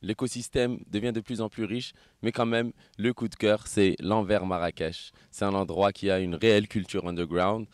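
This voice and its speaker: Male promoter, lower pitch, upbeat pitch-style delivery, dry close sound. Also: steady tone, normal speed, hardly any pauses, dry recording. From Moroccan, born in France. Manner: enthusiastic pitchman, listing and sloganeering. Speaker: event company co-founder. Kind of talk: promo interview.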